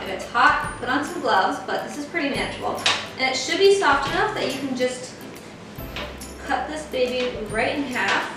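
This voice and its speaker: A woman's voice: a little high, background noise. A young woman hosting a casual cooking tutorial in her home kitchen, upbeat and chatty, with lots of "like". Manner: chatty and upbeat, says "like" often. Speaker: cooking channel host. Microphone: far camera mic. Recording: echoey kitchen, mic at a distance, music underneath.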